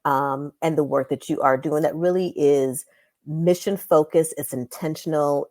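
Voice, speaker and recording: A woman's voice: rumbling low, a little mellow, no room sound. A woman speaking as a podcast host, conversationally leading an interview. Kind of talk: warm-up interview questions, conversational.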